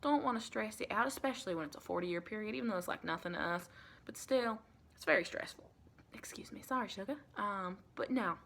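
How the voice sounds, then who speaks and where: Casting: woman to play sweet, rambling American vampire lady, ASMR roleplay, medium pitch with plenty of vocal fry. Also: brisk, a bit bright, a little hiss or hum. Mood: chatty, reassuring. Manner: chatty, rambling, reassuring, calls listener sweetie. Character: sweet, reassuring female vampire welcoming a new recruit.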